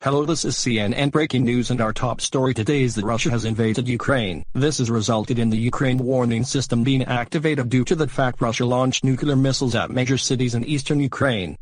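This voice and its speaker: A man's voice: medium pitch, fairly even intonation, a little fast, hardly any pauses, no room sound, close to an everyday voice. A man playing a serious breaking-news anchor, presenting a scripted report in a formal newsreader manner. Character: male breaking-news anchor covering an invasion.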